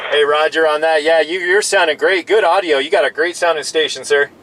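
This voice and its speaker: A man's voice: a little high, a little fast, fairly bright tone, slight background noise. An American man in casual CB radio conversation, friendly and full of radio jargon. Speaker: radio operator. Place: in a parked vehicle outdoors. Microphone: CB radio microphone.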